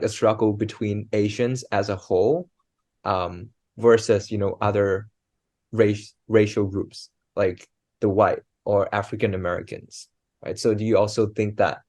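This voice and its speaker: A young man interviews a classmate, his questions loose and hesitant with "um" and "you know". His voice sits a little low, recorded dry and close. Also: darkish tone, no echo. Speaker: student interviewer. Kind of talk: casual research interview.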